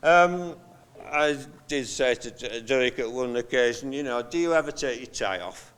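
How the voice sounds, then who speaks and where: An older man giving a halting, conversational memorial eulogy, telling anecdotes from notes with frequent pauses. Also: medium pitch, animated, a bit bright, clear, resonant tone. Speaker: eulogy speaker, friend of the deceased. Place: large hall at a memorial service. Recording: long hall reverb.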